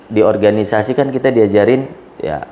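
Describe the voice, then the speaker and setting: Union leader in his forties from Aceh; lowish voice, careful and deliberate, stretches words while thinking. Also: dark tone, slight background noise. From Aceh, Indonesia. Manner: measured, thoughtful, draws out words with pauses. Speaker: labor union chairman. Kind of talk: casual podcast interview.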